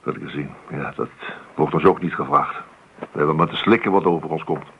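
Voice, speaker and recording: An actor playing a middle-aged, weary father in a radio play, grumbling with gruff resignation. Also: a bass voice, brisk. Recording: narrowband old radio play recording, light noise.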